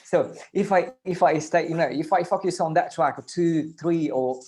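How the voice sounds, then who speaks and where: A man who produces music, talking in a casual panel chat in an earnest, self-deprecating, halting way. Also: fairly high pitch, darkish tone.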